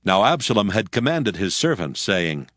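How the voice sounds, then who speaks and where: A man's voice: lowish voice, very animated, moderate pace, a bit bright. A man giving a solemn scripted narration of scripture in archaic English. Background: faint music.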